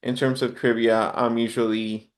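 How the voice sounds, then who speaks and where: Guy from honduras on a podcast, rambly and thoughtful, medium pitch, kinda hesitant phrasing. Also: flat delivery, moderate pace. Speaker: podcast guest. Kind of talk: casual interview chat.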